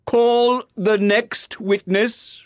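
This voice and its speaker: A man voicing a pompous, anxious storybook king with a drawn-out, imperious delivery. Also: high voice, fairly even intonation, dry recording, studio quality, voice pushed up.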